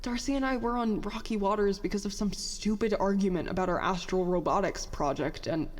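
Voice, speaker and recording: A woman's voice: mid-range voice, a little fast, in a large hall, natural voice. A woman playing a bitter, self-doubting young former prodigy in an audio drama, with a sarcastic, self-deprecating, rambling way of talking. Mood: flustered, regretful. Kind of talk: audio drama dialogue, confessional monologue.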